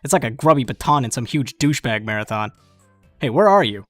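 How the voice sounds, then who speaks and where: A man playing a cool, cocky, sardonic teenage boy with deadpan, slangy delivery in a fan webcomic dub. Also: medium pitch, fairly quick, no echo, faint music behind, close to an everyday voice. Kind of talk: fan comic dub acting.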